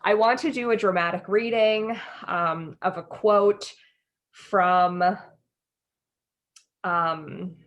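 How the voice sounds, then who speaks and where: A woman's voice: medium pitch, steady tone, slow pace, long pauses, a bit bright. A young American woman co-hosting a podcast in casual chat, informal and self-deprecating. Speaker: podcast co-host.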